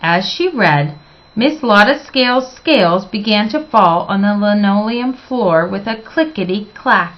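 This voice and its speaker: A woman reading a children's storybook aloud as expressive narration, with dramatic, emphatic phrasing. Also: mid-range voice, a little slow, lo-fi sound.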